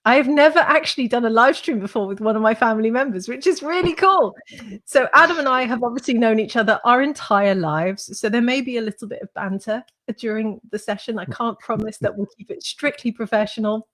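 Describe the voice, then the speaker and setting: Woman, 30s, slightly hoarse, upbeat and chatty host on a webcam call. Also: a little high, a little fast, a bit bright. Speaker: host and school founder. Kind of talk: live-stream interview. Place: home room with shelves. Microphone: webcam on video call.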